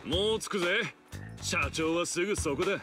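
An actor voicing a gruff young man who talks in a rough, slangy way. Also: sits high, normal speed, over music, natural voice. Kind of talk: anime game dub.

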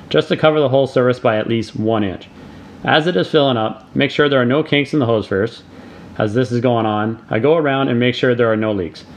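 A middle-aged man, reading a scripted voice-over tutorial in steady step-by-step instructions. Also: mid-range voice. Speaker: DIY channel host.

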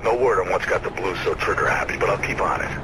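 A man's voice: deep voice, fast talker, noisy background, close to an everyday voice. An actor playing a clipped, directive man guiding a runner as handler in a video game. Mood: reassuring. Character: gruff male handler guiding a runner over radio. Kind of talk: video game voice acting, urgent instructions. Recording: loud music under the voice.

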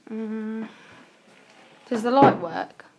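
A woman's voice: a little high, drawn-out words, heavy background noise. A young woman with a nervous, whispery manner, chatting casually while exploring an empty house on a phone live stream. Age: young adult. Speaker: urban explorer vlogger. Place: abandoned house indoors. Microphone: phone held at arm's length.

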